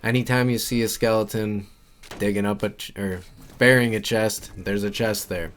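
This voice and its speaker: A man's voice: fairly low pitch, steady tone, normal speed, a bit bright. A man giving casual, instructional walkthrough commentary for a video game, informal and repeating his key points. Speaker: game guide creator and narrator.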